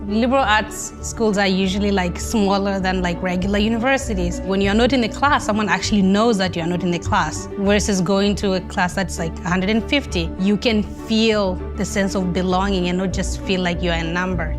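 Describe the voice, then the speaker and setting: A woman's voice: mid-range voice, fairly even intonation, normal speed, hardly any pauses, music in the background. A woman from Tanzania, a college senior, talking casually in a promo clip. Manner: casual, uses "like". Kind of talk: interview clip in a promo video.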